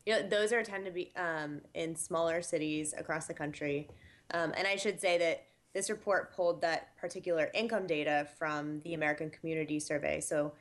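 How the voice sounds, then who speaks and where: A woman who directs housing statistics, giving measured, explanatory interview answers with frequent fillers. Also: deep voice, fairly even intonation.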